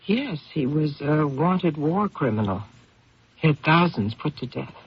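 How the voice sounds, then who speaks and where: A loyal wife in an old radio drama, steady and searching as she speaks to her husband. The voice is strikingly husky and breathy, and the broadcast sounds dull and aged. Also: low voice, a little slow, no echo, heavily acted. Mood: surprised, gentle. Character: loyal, probing wife of a troubled lawyer. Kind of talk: 1970s radio drama acting.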